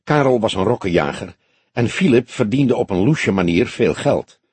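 A man voicing a composed, frank older woman in an audiobook, speaking softly in a measured, genteel, thoughtful way. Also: fairly low pitch, expressive, slightly rough, dry recording. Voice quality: soft, friendly voice. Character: older woman club member, composed, soft-spoken, frank. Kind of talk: audiobook character dialogue.

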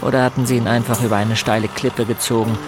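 An unsettled teenage boy played by a man tells his grim story in first person, as in a radio drama. Loud music runs underneath the mid-pitched narration. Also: flat delivery, fast talker, natural voice. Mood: brooding.

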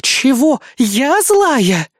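A narrator voicing a furious, sharp-tongued young woman officer who snarls and snaps in an audiobook story. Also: sits high, very animated, very slow, husky, no room sound, studio quality, pitched above the performer's own voice, exaggerated voice. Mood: indignant. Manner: snarling, snapping, scornful.

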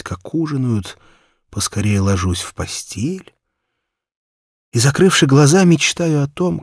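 A man narrating a children's story in an expressive, theatrical first-person reading, moving between hushed and excited delivery. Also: on the low side, creaky, breathy edge, no room sound, clean studio sound. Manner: theatrical, animated, swinging from hushed whispers to excited exclamation. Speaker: audiobook narrator.

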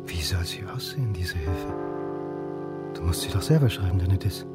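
Dry, wry middle-aged academic. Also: male voice, low voice, expressive, moderate pace, over loud music. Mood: suspicious, sceptical. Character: wry, wary middle-aged history lecturer. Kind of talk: naturalistic radio drama dialogue.

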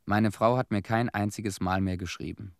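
An actor playing a haunted disaster cleanup veteran in a radio play, telling his story in short, measured, somber phrases. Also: on the low side, steady tone, gravelly, clear, resonant tone, dry recording, studio quality. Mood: somber, bitter. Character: haunted Chernobyl cleanup veteran, a man bearing witness.

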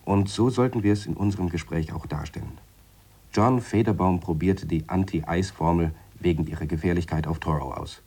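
An actor playing a deliberate, wry scientist in a radio play, explaining his theories in a measured, dry manner. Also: low-pitched, darkish tone, husky. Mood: matter-of-fact. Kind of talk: radio play dialogue in a reflective, lecturing tone.

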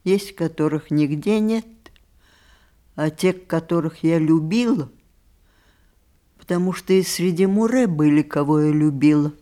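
An actress playing a very old grandmother in a radio play, wise and sharp-tongued, with a measured, reflective delivery. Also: sits low. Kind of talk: theatrical radio play acting.

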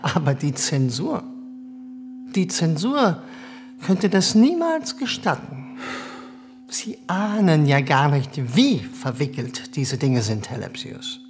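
Condescending bureaucrat, radio play, higher-pitched voice, swooping intonation, evasive formal politeness, music underneath. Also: male voice, sing-song, takes it slowly, heavily acted. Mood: condescending, worried. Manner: smooth, formal, hesitant diplomatic politeness. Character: polite, evasive, condescending German government official, male. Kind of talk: radio drama acting.

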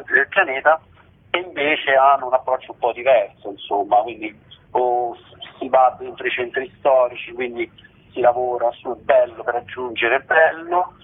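A man's voice: mid-range voice, creaky, slightly rough. A man explaining things informally and discursively in a radio interview as a festival organiser. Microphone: telephone. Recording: muffled, narrow-band telephone line.

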